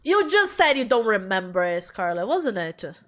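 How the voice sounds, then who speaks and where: A woman's voice: medium pitch, very animated, moderate pace, fairly bright tone. A woman voicing an earnest, anxious young woman in a visual novel, questioning as she talks. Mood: doubtful. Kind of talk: visual novel character read aloud. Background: background music.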